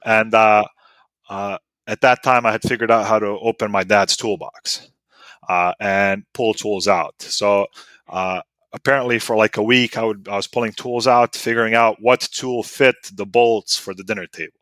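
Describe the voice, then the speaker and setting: Man in his thirties, from Bosnia, native Serbo-Croatian speaker, low voice, rambling storyteller, dry close sound. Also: steady tone, normal speed, a bit bright, no echo. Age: 30s. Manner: rambling, full of "like" and "you know" fillers. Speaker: podcast guest. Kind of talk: casual storytelling interview.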